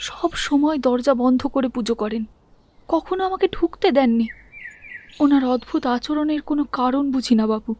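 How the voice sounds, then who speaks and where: A woman's voice: sits high, darkish tone, slight background noise, natural voice. A woman voicing a young, naive daughter-in-law in a cartoon, confiding and mildly complaining. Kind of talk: cartoon voice acting.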